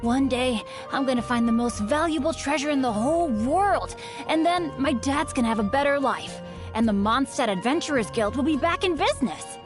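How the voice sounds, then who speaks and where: A woman's voice: high voice, lively intonation, normal speed. A woman voicing an upbeat, earnest teenage boy adventurer in a video game, eager and boyish. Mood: hopeful. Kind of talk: scripted game voice lines. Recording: music behind the voice.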